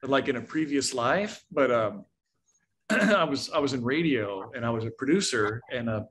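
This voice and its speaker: A man's voice: mid-range voice, expressive, normal speed, a bit bright, a little hiss or hum. A man from Texas chatting casually as a workshop attendee. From Texas, United States. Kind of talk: casual chat.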